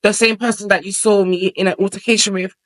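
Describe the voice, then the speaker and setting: A man's voice: high voice, fairly even intonation, fast, a bit bright. A young man with a feminine voice, talking at length as an interview guest in a casual, rambling way. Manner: chatty, rambling, explains at length with filler phrases.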